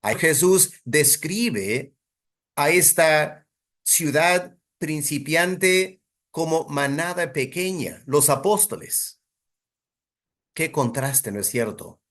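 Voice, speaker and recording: A man teaching a Bible study in a deliberate, emphatic sermon style, putting rhetorical weight on his phrases. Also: fairly high pitch, expressive, fairly bright tone.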